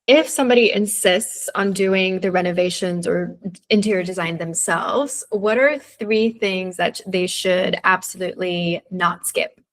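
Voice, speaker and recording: A woman's voice: on the low side, a little slow, few pauses. A woman interviewer asking a guest questions and adding brief, encouraging reactions.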